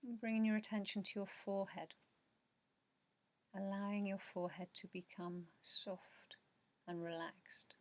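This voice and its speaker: A woman leading a guided meditation in a soft, slow, soothing way, with measured pauses and breathy phrase endings. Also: mid-range voice, fairly even intonation. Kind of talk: guided meditation narration, yoga nidra.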